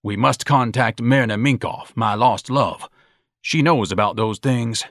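A man's voice: medium pitch, unhurried, fairly bright tone, dry recording, studio quality, pitched above the performer's own voice. A man voicing a pompous, huge thirty-year-old mama's boy who speaks in a florid, condescending way.

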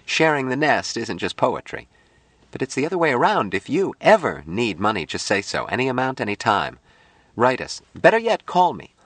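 A man voicing a bright, affectionate, playful young woman in an audiobook story, with a gushing, emphatic manner full of endearments. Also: mid-range voice, very animated, normal speed, slightly rough, no room sound, pitched above the performer's own voice. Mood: amused, generous.

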